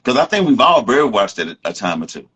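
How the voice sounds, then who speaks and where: A man hosting a casual talk, commenting reflectively in a halting way as he repeats and rephrases himself. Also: medium pitch, very animated, bright, forceful tone, husky, muffled recording. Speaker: host.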